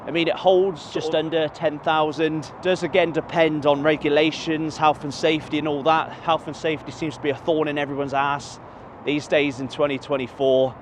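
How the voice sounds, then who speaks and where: A man's voice: on the high side, level tone, normal speed, noisy background. A man, walking around a football ground and chatting casually to camera in a rambling, self-correcting way. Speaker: football stadium vlogger. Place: outdoors by a stadium near a motorway.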